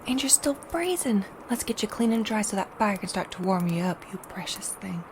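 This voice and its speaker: An actress playing a compassionate, motherly caretaker who is doting and gently insistent in a fantasy audio drama. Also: mid-range voice, lively intonation, moderate pace, background noise, natural voice. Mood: tender, fussing. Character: tender, motherly female caretaker, fussing and compassionate.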